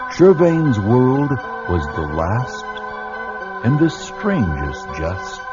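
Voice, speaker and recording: Male narrator, deep and dramatic, deliberate delivery, dull lo-fi radio sound over music. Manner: portentous, deliberate phrasing.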